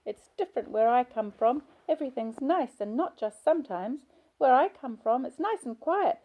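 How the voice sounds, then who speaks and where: A woman's voice: sits high, expressive, moderate pace, a little mellow, voice pushed up. A woman voicing a middle-aged hospital patient who talks in a whiny, childish, pleading way. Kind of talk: audiobook character voice.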